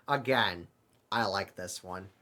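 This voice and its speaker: A man hosting an improvised comedy skit, talking in a halting, bemused manner. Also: deep voice, pushed and bright. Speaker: host of a comedy web series.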